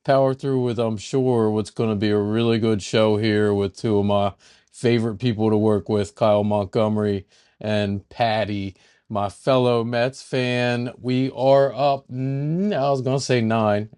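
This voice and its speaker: A man's voice: medium pitch, darkish tone, clean tone. A man hosting a sports podcast, chatting casually and rambling through sponsor reads and intros. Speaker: podcast host.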